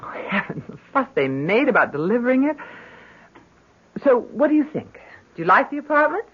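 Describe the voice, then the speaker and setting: Through a dull, lo-fi radio recording comes a middle-aged mother in a radio drama. Her high voice is slightly husky and swings expressively as she fusses, chats and worries lovingly over her grown daughter. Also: sing-song, fairly quick. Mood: bright, fussing. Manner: chatty, solicitous. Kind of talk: old-time radio drama acting.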